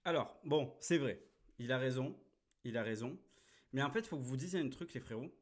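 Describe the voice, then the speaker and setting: A man's voice: mid-range voice, lively intonation, normal speed. Blunt, crude-talking man in his thirties. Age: 30s. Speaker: host reacting to a video. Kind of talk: casual reaction chat.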